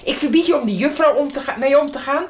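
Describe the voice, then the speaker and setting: A woman's voice: high voice, quick pace. A woman rehearsing radio drama lines and making off-script remarks, on a noisy studio outtake.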